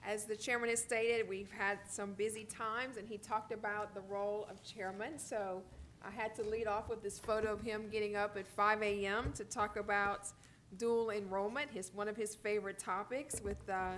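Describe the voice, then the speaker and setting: A woman delivers an official report at a board meeting in a conversational way. Her voice sits at a middle pitch, with some low rumbling noise in the recording. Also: flat delivery, moderate pace, a bit bright. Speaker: higher education commissioner giving a report. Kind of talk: prepared but conversational presentation. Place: public board meeting room.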